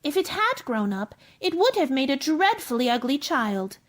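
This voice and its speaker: A woman's voice: sits high, big pitch swings, normal speed. A woman playing a polite, earnest, curious young girl in a radio play. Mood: fretful, scolding.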